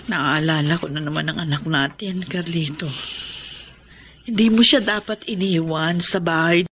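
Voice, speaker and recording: A woman's voice: deep voice, moderate pace, fairly bright tone, breathy edge, heavily acted. A woman playing an anxious, sorrowful mother and wife in a Filipino radio drama, with a fretful, grave delivery. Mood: sad, reproachful.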